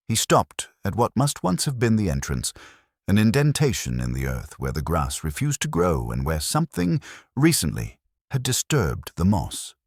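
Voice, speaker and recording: A man narrating a scripted detective story in a measured, dramatic manner. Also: extremely low pitch, no echo, studio quality.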